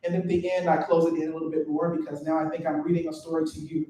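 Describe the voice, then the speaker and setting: A woman who is a poet and teacher, speaking on a live panel, excitable and quick to laugh as she tells stories. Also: deep voice. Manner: excitable, laughing, gesturing storyteller. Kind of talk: panel talk. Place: event venue, live panel. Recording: very echoey, distant from the mic.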